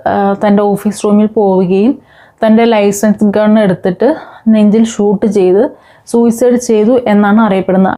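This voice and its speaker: Mellow-voiced Kerala woman giving informal news commentary. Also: mid-range voice. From Kerala, India. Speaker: online news commentary host.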